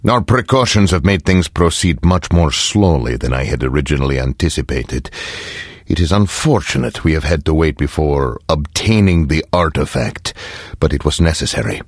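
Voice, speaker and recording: A game general with an extremely low voice. He is composed and calculating, giving covert orders in formal, measured phrases. The sound is dry and close. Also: animated, no room sound, exaggerated voice. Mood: calm, calculating. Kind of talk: video game cutscene acting.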